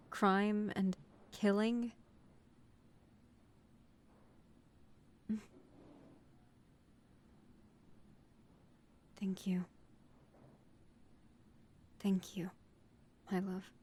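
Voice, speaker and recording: A woman's voice: medium pitch, little pitch movement, slow, pauses often, darkish tone, close to an everyday voice. A woman playing an earnest, devoted new mafia recruit and loving partner in a romantic audio roleplay. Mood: worried, loving. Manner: earnest, emotional, polite to superiors.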